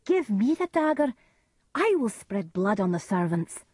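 A woman's voice: sing-song, measured pace, no room sound, studio quality. A woman voicing a ruthless, domineering noble wife in a storybook, commanding and scornful. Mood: scornful, urgent. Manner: commanding, high and forceful.

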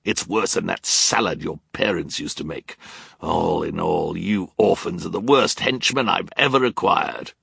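A pompous, menacing male villain from a storybook. The voice is low and rasping, and it swoops with scornful sneers and slow, drawn-out snarls. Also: very animated, bright, forceful tone, no echo, studio quality, heavily acted. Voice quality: growling, gravelly.

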